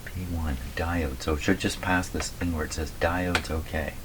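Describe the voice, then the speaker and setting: A man with a slightly hoarse voice gives casual running commentary as he works, muttering to himself and reading things out deliberately. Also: low voice, steady tone. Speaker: radio repairer and video host. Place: radio repair workshop at a bench. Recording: clearly noisy workshop recording with clicks.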